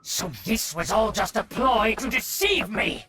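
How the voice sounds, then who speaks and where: A man's voice: high-pitched, very animated, measured pace, heavy background noise. An actor playing a haughty middle-aged nobleman in a video game, a scheming uncle with a pompous, formal, theatrical manner. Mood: outraged. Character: haughty aristocratic uncle scheming to restore his clan's rule.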